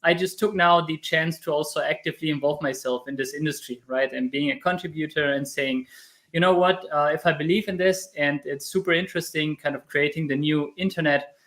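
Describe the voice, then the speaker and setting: A man in his thirties with a German accent, talking casually as an interview guest and telling stories in an animated way. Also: a little high, brisk. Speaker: podcast guest, crypto YouTuber.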